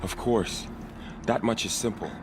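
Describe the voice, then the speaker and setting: A man acts a reserved young warrior in a game drama. His voice is deep, with a devout, earnest and slightly hesitant delivery. Music is clear behind him. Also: unhurried, close to an everyday voice. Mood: hesitant, humble. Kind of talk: game cinematic acting.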